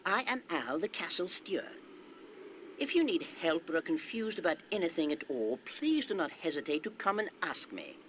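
A fussy castle steward in a video game, speaks with formal courtesy and precise phrasing. The pitch moves up and down in a lively way, over faint background noise. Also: normal speed. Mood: prim, courteous. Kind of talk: scripted video game acting.

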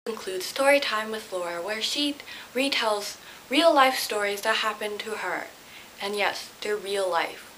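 A young woman telling a personal story casually to camera in an animated, slightly flustered way, recorded in a bedroom on a camera a short distance away. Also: medium pitch, a little slow. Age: young adult. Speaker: vlogger. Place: small bedroom, indoors. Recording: hissy home camera recording.

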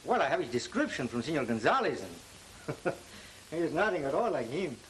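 An actor playing a sly, jovial Mexican messenger in an old western film, with a Mexican accent and effusive, courteous manners. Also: male voice, on the high side, big pitch swings, normal speed, fairly bright tone, rough recording. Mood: jovial, polite. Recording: hissy old film soundtrack.

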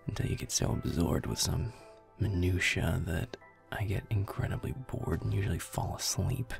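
A man's voice: sits low, normal speed, creaky, faint music behind. A man playing a grumpy, defensive werewolf boyfriend in a roleplay audio, muttering sarcastically as he plays a video game. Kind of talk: roleplay audio, gaming chat with listener.

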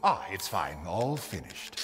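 An actor playing a genteel, hulking male merchant in a video game, with polite, unhurried shopkeeper patter. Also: low voice, very animated, a bit bright, soft background music, heavily acted. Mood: courteous.

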